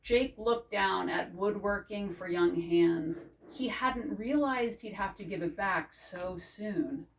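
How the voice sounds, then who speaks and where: An older woman reads a picture book to children in a careful storytelling style. She takes her time over the phrases, with a medium-pitched voice. She is recorded indoors with the microphone some way off. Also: slow, creaky, few pauses, a little hiss or hum. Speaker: librarian reading aloud. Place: indoors before a green backdrop. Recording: distant microphone.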